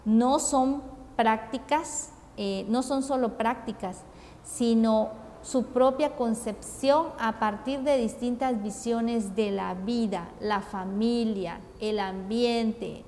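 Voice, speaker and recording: A woman from Guatemala with a Guatemalan Spanish accent, giving a formal conference talk in a measured, deliberate way with frequent hesitations. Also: on the high side, mellow. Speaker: conference speaker. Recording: long reverb, faint ambient noise.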